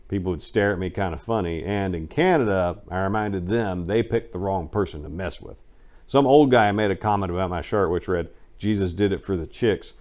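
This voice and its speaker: Low-voiced man narrating a wry memoir. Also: a little mellow. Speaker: audiobook narrator. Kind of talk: dramatic reading of a first-person memoir.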